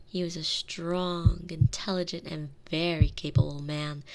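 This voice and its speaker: A woman playing a tender, protective girlfriend in an intimate ASMR roleplay, speaking soothingly and softly into a close binaural microphone with lingering phrases. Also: lowish voice, flat delivery, noisy background. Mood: gentle storytelling. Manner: soothing, breathy near-whispers, lingering drawn-out phrases. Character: tender, protective girlfriend soothing her frightened lover to sleep.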